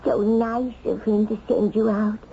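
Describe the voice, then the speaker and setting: An actress playing a forgetful, chatty old widowed mother in a radio drama, rambling and repeating herself in a creaky voice. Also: medium pitch, little pitch movement, unhurried. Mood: chatty, fretful. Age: older. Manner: rambling, repetitive, scattered. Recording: dull, noisy old radio recording.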